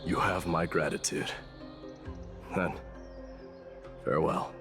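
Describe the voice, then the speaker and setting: An actor playing a solemn, reserved warrior prince and guardian in a video game, speaking formally and earnestly. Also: male voice, low voice, fairly even intonation, slow. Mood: solemn, grateful. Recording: loud music behind.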